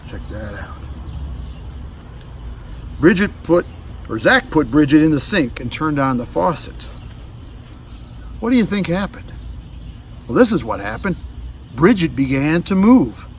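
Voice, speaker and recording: A man's voice: a little high, big pitch swings, a little slow, long pauses, recorded from a distance, a little hiss or hum. A man reading a children's storybook aloud, adding casual asides to young listeners. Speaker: storybook reader. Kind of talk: children's read-aloud narration.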